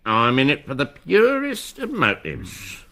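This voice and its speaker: Cheeky crook, sardonic, voice swoops all over the place, radio play. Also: male voice, mid-range voice, huge pitch swings, a little slow, pushed and bright, heavily acted. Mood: mocking. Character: cheeky, uncouth male small-time crook, mocking. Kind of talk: British radio drama acting.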